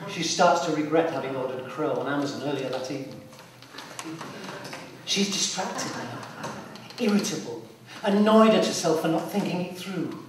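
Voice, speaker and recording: A man's voice: fairly high pitch, lively intonation, moderate pace. Male narrator in a very echoey theatre. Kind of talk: scripted dramatic story narration. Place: theatre, live performance recorded from a distance. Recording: very echoey hall, distant, loud background noise.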